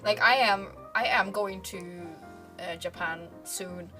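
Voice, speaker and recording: A woman's voice: medium pitch, fairly even intonation, a little slow, music in the background. A young woman talking casually and hesitantly in a group podcast, with plenty of fillers. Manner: rambling, hesitant, many fillers. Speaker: dance group member.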